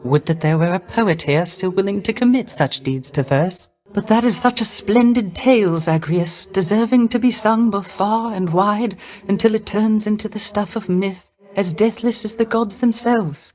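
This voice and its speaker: Male actor as melancholy young male bard, soft airy voice, courtly formal diction, earnest, theatrical video game character. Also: high voice, moderate pace, hardly any pauses, natural voice. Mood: admiring. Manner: ornate, formal, deferential diction. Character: gentle, melancholy, courtly young male poet-musician of the underworld.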